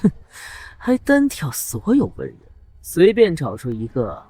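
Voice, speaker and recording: Male actor, mocking ancient-China bystander, animated, scornful, mid-range, dry sound, historical drama dub. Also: fairly high pitch, measured pace, breathy edge, no room sound, full character voice. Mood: incredulous, scornful. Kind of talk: Chinese historical comic drama dubbing.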